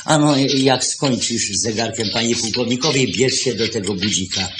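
A man's voice: medium pitch, normal speed, very noisy, natural voice. An actor playing a fussy, stammering old watchmaker shop boss who repeats his words, in a 1970s radio play. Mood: fussy, flustered. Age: older. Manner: hesitant, repeats words, obsequious to customers.

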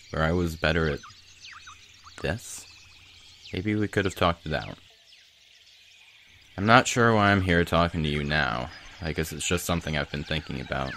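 A man playing an earnest, slightly nerdy teenage boy adventurer in a dramatic comic dub, speaking in a halting, pensive way. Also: a bass voice, bright, forceful tone, slight background noise, exaggerated voice.

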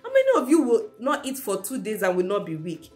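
Animated woman telling a children's Bible story. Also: medium pitch, fairly quick, soft background music. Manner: animated, repeats key phrases for kids. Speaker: children's church teacher. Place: indoor room at home.

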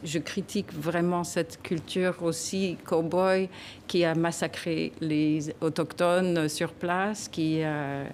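Low-voiced woman author in a studio. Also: a little mellow, slight background noise. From Canada. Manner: thoughtful, emphatic, gesturing while talking. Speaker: guest author. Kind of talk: TV studio interview. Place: TV news studio. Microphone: desk microphone.

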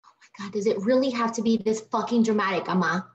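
Exasperated grown daughter, played by an actress, dull audio. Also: female voice, medium pitch, fast, close to an everyday voice. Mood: exasperated, apologetic. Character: exasperated grown daughter, struggling artist, pleading and defensive. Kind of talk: live table read of a TV comedy script. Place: at home on a video call. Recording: dull lo-fi video call audio.

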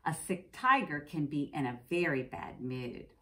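An American woman, a middle-aged preschool teacher, reading a picture book aloud to young children and pausing to explain things simply. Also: deep voice, sing-song, bright, forceful tone. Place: indoors, classroom.

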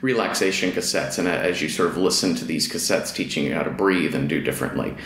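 A male interview guest with a very low voice and plenty of vocal fry. He chats affably, feeling his way through each thought, in a room with noticeable echo. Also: fast, a bit bright. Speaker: podcast guest, fiction writer. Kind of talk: casual interview conversation.

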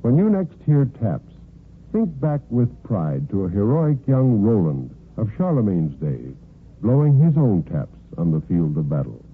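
A male radio announcer delivers formal scripted narration in a declamatory vintage manner. His voice is markedly husky and moves widely in pitch. The recording is narrow, like an old telephone line. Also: wildly swooping pitch, measured pace. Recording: muffled, phone-thin old radio recording.

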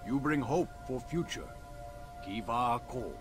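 An actor playing a proud, solemn alien native warrior guide in a video game, speaking formal, clipped English. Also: male voice, mid-range voice, lively intonation, unhurried, music in the background, natural voice. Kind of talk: video game character acting.

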